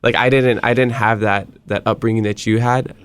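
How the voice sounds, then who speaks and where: A man's voice: medium pitch, fairly even intonation, normal speed, clear, resonant tone. A young man from Hawaii hosting a casual interview, chatty and easygoing, telling stories with frequent laughs. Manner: easygoing, chatty storyteller who laughs often. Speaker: podcast host.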